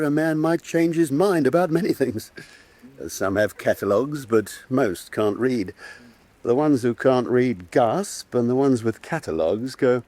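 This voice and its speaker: Wry, fawning beggar veteran, very lively. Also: male voice, medium pitch, dry recording. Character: obsequious, wry poor male beggar and war veteran. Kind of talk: theatrical radio drama acting.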